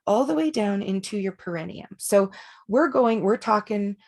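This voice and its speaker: A woman energy healer leading an online group through breathing and meditation, relaxed and chatty, with frequent fillers and restarts. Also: medium pitch, normal speed. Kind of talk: guided breathing and meditation instruction.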